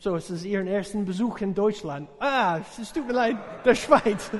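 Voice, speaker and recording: A middle-aged American man with a notably high voice preaches a sermon in a language he learned later, his native English showing through. He halts often and fills gaps with "uh" while joking warmly with his listeners. His voice rings through a church hall with a long, echoing tail. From USA. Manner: halting, searching for words, many fillers, jokes with congregation. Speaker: visiting missionary preacher.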